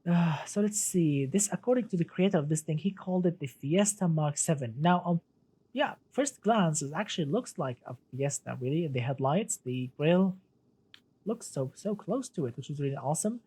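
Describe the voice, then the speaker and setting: A man's voice: fairly high pitch, expressive, moderate pace, mellow, husky. Chatty man whose first language is Arabic. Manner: chatty, many restarts, addresses chat as "my friend". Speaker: streamer. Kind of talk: casual live-stream commentary.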